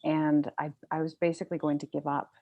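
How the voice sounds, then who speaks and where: A woman's voice: low voice, little pitch movement, a little fast, mellow, no room sound. A woman speaking as a guest author, telling a personal story in a casual, conversational way.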